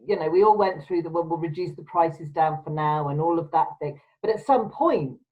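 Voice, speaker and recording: A woman's voice: low-pitched, fairly quick. Brief: chatty, laughing woman host, muffled lo-fi call audio. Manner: chatty, laughs often, emphatic. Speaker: podcast host. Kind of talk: casual video-call chat. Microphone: video call.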